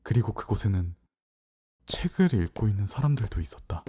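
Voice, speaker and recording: A man's voice: fairly low pitch, very animated, fairly quick, warm tone, breathy edge, heavily acted. A man playing a young farmer's son, narrating his own fantasy story in a reflective, literary way in an audio drama. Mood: calm narrating.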